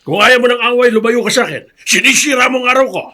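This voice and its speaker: An actor playing a bitter, scornful old Filipino grandfather with a raspy, strained voice and explosive temper, in melodramatic radio drama style. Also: very high voice, fast, pushed and bright. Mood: enraged. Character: bitter, vengeful old grandfather, quick to rage.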